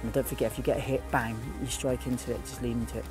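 A man guiding a beginner through fishing by a lake, giving quick, repeated instructions. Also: mid-range voice, little pitch movement, music in the background. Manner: urgent, repetitive instructions. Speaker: fishing guide. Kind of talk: on-the-spot coaching. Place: outdoors by a lake.

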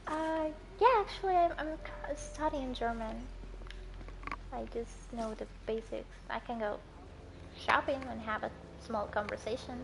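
Gravelly-voiced woman streaming a game. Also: on the high side, a little slow. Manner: chatty, answers viewers between gameplay. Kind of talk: casual chat while playing. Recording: loud game noise behind voice. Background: game sounds.

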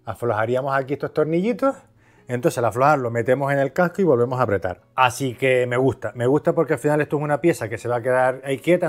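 A man's voice: medium pitch, a little mellow. Man explaining casually over guitar music. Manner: conversational, explanatory, asks and answers own questions. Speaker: video host, product reviewer. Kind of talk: casual product review to camera.

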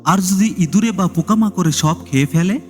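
A man's voice: high-pitched, moderate pace, natural voice. A man voicing an honest, anxious young man who frets and overthinks in a story. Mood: worried. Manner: worried, fretful. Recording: long reverb, music behind.

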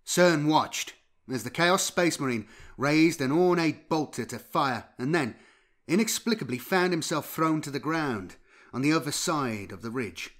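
A man's voice: a little high, lively intonation, unhurried, fairly bright tone. A man reading dramatic scripted fiction as an audiobook narrator. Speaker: audiobook narrator and channel host.

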